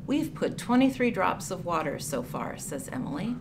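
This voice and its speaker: A woman reading a picture book aloud for children, with deliberate, clearly phrased storytelling delivery. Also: fairly low pitch, very animated. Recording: clear background noise, room ambience.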